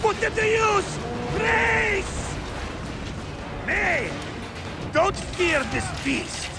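An actor voicing a gruff old sea captain in a video game, bellowing and florid, over loud music and battle at sea. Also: male voice, very high voice, lively intonation, very slow, full character voice. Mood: defiant, urgent. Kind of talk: video game dramatic acting.

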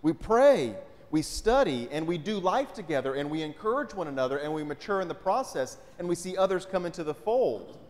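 A man's voice: fairly high pitch, big pitch swings, a little fast, few pauses. A man, a pastor preaching a sermon in a conversational way and often asking the congregation questions. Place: large church sanctuary, indoors. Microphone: pulpit mic. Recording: long church hall reverb.

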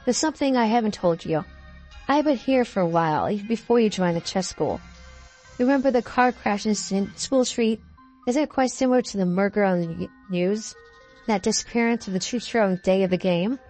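A woman voicing a calm, shrewd young cafe maid in a visual novel game, measured and earnest. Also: medium pitch, lightly acted. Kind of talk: visual novel dialogue reading. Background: background music.

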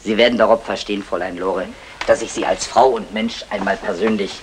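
An actor playing a wily small-time crook and meddling brother in an old German radio comedy, mock-formal and chummy. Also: male voice, on the low side, fairly even intonation, a little fast, slight background noise, natural voice. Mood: mock-solemn.

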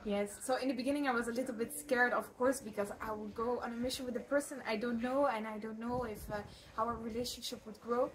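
A young Dutch woman, a native Dutch speaker, giving an earnest, casual testimonial in English with frequent filler pauses. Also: a little high, fairly even intonation, poor audio. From Netherlands. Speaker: lay missionary teacher. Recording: background noise.

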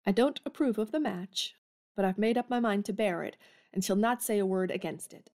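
A woman's voice: mid-range voice, brisk, darkish tone, close to an everyday voice. An actress playing a blunt, spirited young woman in an audio drama. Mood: melancholy.

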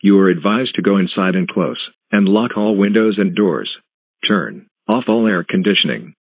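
A man reading a scripted emergency broadcast announcement in a flat, formal, synthetic manner. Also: low-pitched, moderate pace, no echo. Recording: tinny phone-like band, muffled husky sound.

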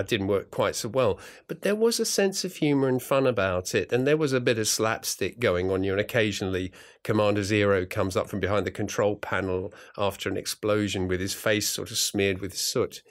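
A man's voice: on the low side, normal speed, few pauses. A middle-aged man talking as a guest, enthusiastic and playful, rambling with many restarts and fillers. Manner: playful, jokey. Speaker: guest, radio drama writer and director. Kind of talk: casual conversation.

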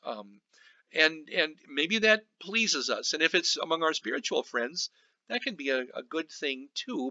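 A male pastor giving an informal Bible study commentary in a halting, reflective teaching manner. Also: medium pitch, bright, forceful tone, dry recording, lo-fi sound.

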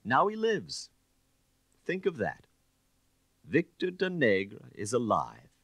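A man voicing a suave, self-satisfied gentleman thief in an audiobook, speaking in a proud, grandiloquent manner. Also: mid-range voice, huge pitch swings, takes it slowly, dry recording, voice pushed up, full character voice. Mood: emphatic. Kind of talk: audiobook character reading.

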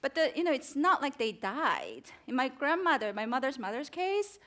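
A woman's voice: sits high, big pitch swings, moderate pace, sharp tone. A woman in her forties from South Korea with a Korean accent, speaking earnestly and reflectively as a journalist guest in an onstage interview, recorded on a headset mic on a theater stage. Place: theater stage before a live audience.

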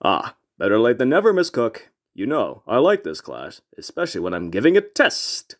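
Man as a wry, wildly expressive teacher. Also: mid-range voice, normal speed. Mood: sly amusement. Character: wry, slightly evil male history teacher. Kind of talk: amateur comic fandub acting.

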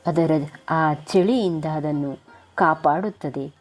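A woman's voice: sits low, slow pace. A woman narrating a moral story for children as a storyteller.

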